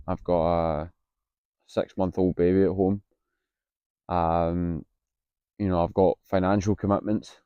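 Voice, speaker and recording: A Scottish man in his late twenties, a little husky from being unwell, talking candidly to camera outdoors with plenty of hesitant ums. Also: low-pitched, mellow, no echo, highs cut off. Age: 20s. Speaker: vlogger, university student. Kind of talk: casual vlog to camera. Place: outdoors on a campus.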